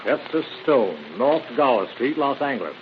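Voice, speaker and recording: An actor playing a curt police superior asking questions and giving orders in an old radio crime drama. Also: male voice, mid-range voice, animated, normal speed, lightly acted. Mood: businesslike. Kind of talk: 1930s-40s radio crime drama acting. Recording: muffled, very noisy old radio recording.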